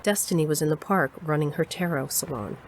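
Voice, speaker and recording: Low-voiced, matter-of-fact storyteller. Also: female voice, brisk, a little hiss or hum. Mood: knowing, measured. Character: matter-of-fact female storyteller recounting her dark tale. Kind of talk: scripted narration.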